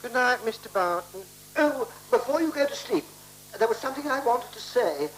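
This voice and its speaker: An actor playing an earnest, anxiously precise man in a 1970s radio play, formal and deliberate. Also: very high voice, lively intonation, a bit bright, poor audio. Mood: nervous, apologetic. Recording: hissy, humming 1970s radio recording.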